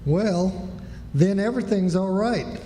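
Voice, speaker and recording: A man's voice: high-pitched, slow pace, warm tone, a little hiss or hum. Man playing plain American country uncle, echoey hall. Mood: puzzled. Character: Silas, plain older country man, family head. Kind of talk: live radio drama acting. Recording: echoey hall, distant from mic.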